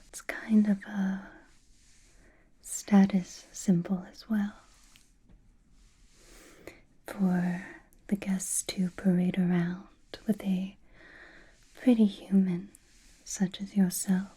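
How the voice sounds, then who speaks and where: A woman playing an elegant, teasing female vampire noble in an ASMR roleplay, speaking in a soft, breathy whisper with a refined manner. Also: mid-range voice, little pitch movement. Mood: amused, haughty. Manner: slow, refined, wryly teasing.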